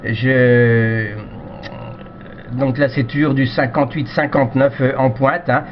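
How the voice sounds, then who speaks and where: A man's voice: medium pitch, fairly even intonation, slow pace. A man chatting casually over amateur radio in a polite, hesitant, rambling way, often repeating himself. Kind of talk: casual radio contact chat. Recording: dull lo-fi radio audio with noise.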